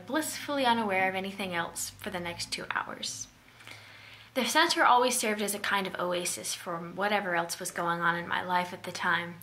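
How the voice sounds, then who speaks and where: A woman's voice: on the low side, sharp tone. A young woman giving a prepared keynote speech to a webcam in a small room, telling her story in an earnest way. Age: young adult. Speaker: keynote speaker. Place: small indoor room at home. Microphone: webcam at close range.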